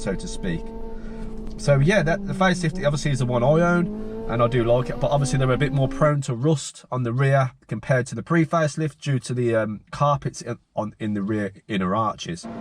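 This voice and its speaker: Man, croaky voice, under the weather, casual car vlog host, rambling restarts. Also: medium pitch, background noise. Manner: rambling with many restarts and fillers. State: hoarse, croaky from a cold.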